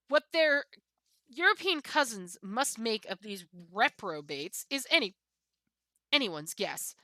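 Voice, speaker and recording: Woman voicing a pompous, sneering cat, bright and animated. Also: medium pitch, no room sound. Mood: sardonic. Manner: pompous, disdainful, theatrically emphatic. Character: haughty misanthropic pampered cat, sneering at common people. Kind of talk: dramatic book reading.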